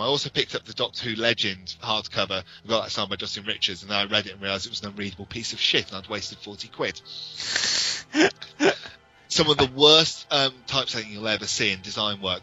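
A man's voice: lowish voice, a little fast, pushed and bright. A British man co-hosting a podcast, talking casually and frankly as an opinionated fan. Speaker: podcast co-host. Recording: dull lo-fi audio with music.

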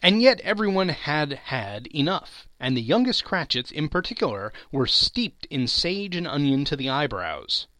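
Bright, highly animated male storyteller. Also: moderate pace, hardly any pauses, dry recording. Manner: theatrical, emphatic, expressive. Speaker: podcast host and narrator. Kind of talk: dramatic literary audiobook narration.

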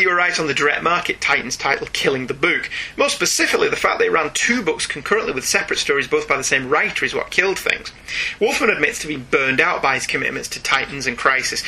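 A man hosting a podcast, chatting casually and reading out listener emails in a chatty, animated way. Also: a little high, breathy edge, low-quality recording. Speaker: podcast host.

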